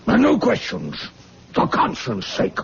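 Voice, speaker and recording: An actor playing a domineering, coldly pragmatic medical school master, paternal and imperious, with a gravelly voice, in a radio drama. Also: male voice, sits high, wildly swooping pitch, slow, exaggerated voice. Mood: stern. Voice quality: creaky, gravelly. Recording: muffled lo-fi radio recording, faint noise.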